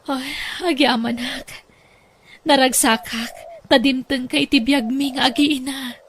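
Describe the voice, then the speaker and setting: A woman playing a gentle, patient working mother who speaks softly and politely in a radio-style drama. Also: high voice, fairly even intonation, a little slow, slight background noise. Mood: grateful.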